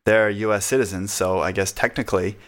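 A man with a very low voice talks in an easygoing, chatty way, often breaking into laughter. He pokes fun at himself as he explains things at a relaxed pace. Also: a little fast. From United States. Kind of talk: casual conversation.

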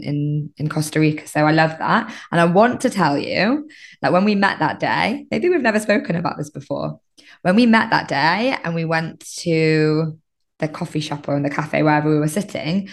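A woman in her thirties hosting a podcast, chatting in an enthusiastic, affirming way. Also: low-pitched. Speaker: podcast host. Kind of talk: casual podcast conversation.

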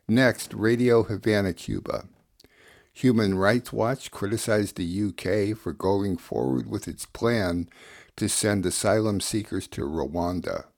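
A man hosting a radio show, reading scripted links and summaries in a gravelly voice. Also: sits low, a little mellow.

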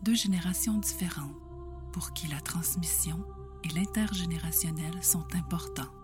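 A woman reading the scripted opening of a show in a soft, slow, breathy way. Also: fairly low pitch. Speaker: show narrator. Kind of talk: scripted narration. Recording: narration over music.

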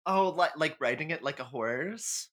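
A man's voice: fairly high pitch, lively intonation, normal speed, fairly bright tone. A man co-hosting a podcast, chatting casually in a playful, slangy way. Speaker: podcast co-host. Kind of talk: casual chat.

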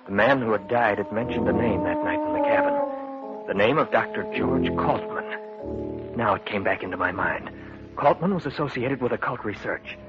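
A male actor as a troubled man telling his own eerie story in a vintage radio thriller. His voice is lowish, measured and serious, in a muffled old recording with loud music underneath. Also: lively intonation, natural voice. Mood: serious, recounting. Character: haunted, earnest man recounting his uncanny ordeal. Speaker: narrator and protagonist. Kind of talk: old-time radio drama narration.

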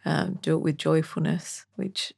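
A woman talks casually as a guest on a podcast. Her voice is fairly low and creaky, with little movement in pitch. She feels her way through thoughts and checks for agreement. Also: fairly even intonation, unhurried, a little mellow. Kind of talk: casual chat.

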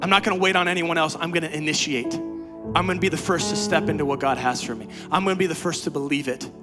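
A man preaching a sermon as pastor, swinging from quiet, low talk to loud, high-pitched exhortation. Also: fast, music in the background. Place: church sanctuary.

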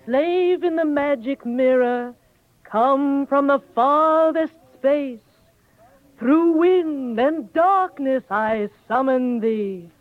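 Very high, imperious evil queen. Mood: imperious, commanding. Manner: slow, imperious, incantatory. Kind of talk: 1930s radio drama acting. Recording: tinny, narrow-band 1930s radio broadcast.

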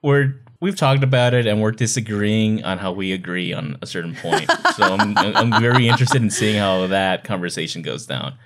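A man's voice: fairly low pitch, normal speed, hardly any pauses, a loud crowd. A man co-hosting a podcast in a casual book chat, playful and emphatic, repeating words for effect. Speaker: podcast co-host.

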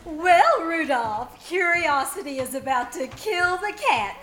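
Echoey room, woman doing a bossy gossipy old radio sitcom wife, high voice. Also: very animated, takes it slowly, loud music behind the voice, exaggerated voice. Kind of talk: old-time radio comedy acting, live stage. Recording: echoey live room, faint noise.

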